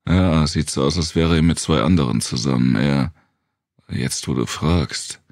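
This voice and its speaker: A man plays a weary wanderer in a dark audiobook, guarded and hesitant. His voice is extremely deep and creaky, recorded dry. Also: unhurried, no echo. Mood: uncertain, explaining.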